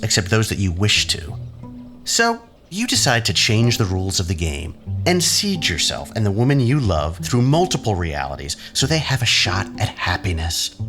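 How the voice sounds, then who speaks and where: A low-voiced, menacing man of science played by a male actor in an audio drama. His delivery swings widely, sardonic and taunting, as he probes with rhetorical questions. Music plays under him. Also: a bit bright.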